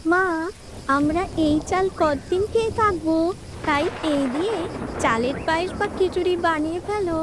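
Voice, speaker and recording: Woman voicing a very squeaky, eager child bird. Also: moderate pace, noisy background. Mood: pleading. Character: eager young child bird, questioning its mother. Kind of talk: children's cartoon fairy-tale acting.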